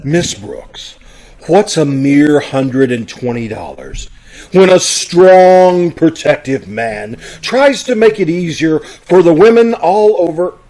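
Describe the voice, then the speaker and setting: Man acting a gravelly, high-pitched flustered blusterer. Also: lively intonation, slow, mellow. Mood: indignant, blustering. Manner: stammering, pompous. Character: flustered, blustering, easily charmed older male school principal. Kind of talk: old-time radio comedy acting. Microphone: video call. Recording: amateur video-call recording.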